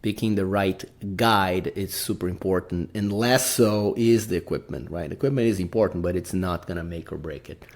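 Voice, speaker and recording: A man's voice: deep voice, moderate pace, few pauses. A man from Brazil with a Brazilian accent, hosting a casual solo podcast and rambling, often tagging his sentences with "right?". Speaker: podcast host.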